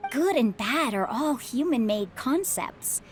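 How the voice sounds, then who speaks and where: Casting: seasoned motherly adventurer in a game, slightly high, expressive, calmly reassuring. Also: slow, faint music behind. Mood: reflective. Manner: calm, reassuring, philosophical. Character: reassuring middle-aged widowed mother, former adventurer. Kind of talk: video game voice acting.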